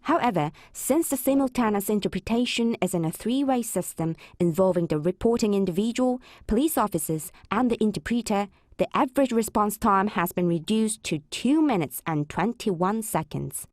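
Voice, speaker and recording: A woman reporter delivers a scripted news piece in a measured, even voice. The sound is dry and close, with no room around it. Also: medium pitch, no echo.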